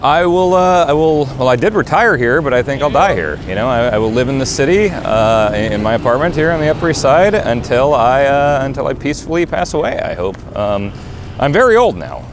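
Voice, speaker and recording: An old New York man as a male comic plays him rambles wistfully and haltingly. His pitch swings widely, and there is clear noise behind him in the live recording. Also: big pitch swings. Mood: wistful, resigned. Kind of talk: improvised live comedy monologue. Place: live convention stage.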